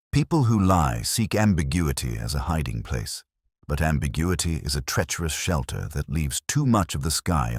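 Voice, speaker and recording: A man narrating a scripted first-person detective story in a measured, literary, reflective way. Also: very deep voice, dry recording, clean studio sound.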